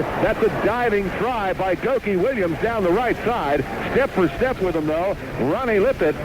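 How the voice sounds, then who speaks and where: A noisy 1980s radio broadcast from a stadium carries an American man's voice. He sits high in pitch for a man as he gives live play-by-play of a football game. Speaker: sports play-by-play announcer. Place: outdoor stadium broadcast booth, crowd noise behind.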